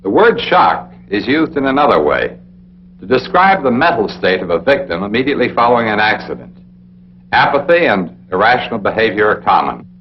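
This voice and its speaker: Dull old film narration, animated American man. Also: lowish voice. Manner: authoritative, deliberate, addressing the listener directly. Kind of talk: scripted instructional narration, 1960s military film. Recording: dull, noisy 1960s film soundtrack with hum.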